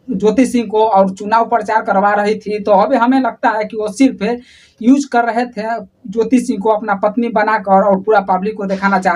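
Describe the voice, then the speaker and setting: A woman's voice: mid-range voice, darkish tone. A woman with a Bihari accent giving informal, opinionated news commentary, talking straight to viewers in a colloquial, repetitive way. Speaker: online news presenter.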